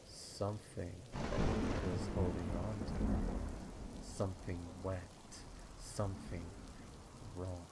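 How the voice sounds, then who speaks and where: A man with a lowish voice narrates a dark horror tale. His delivery is slow and suspenseful, with deliberate phrasing, over clearly audible rain. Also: steady tone, heavy background noise. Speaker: fan fiction narrator. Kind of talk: dramatic horror story narration.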